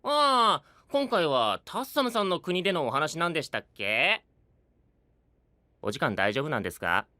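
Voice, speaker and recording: An actor playing a courteous but sly and teasing young knight with mock-sweet melodrama, in an anime audio drama. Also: male voice, on the high side, sing-song, normal speed, pushed and bright, no room sound. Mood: playful.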